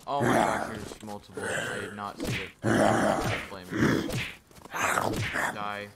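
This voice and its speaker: A man with a fairly low voice talks through his own gameplay, muttering plans and yelling at attackers. His microphone picks up clear background noise and keyboard clicking. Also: slow pace. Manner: excitable, talks to himself and at enemies. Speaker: gaming YouTuber. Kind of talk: live gameplay commentary.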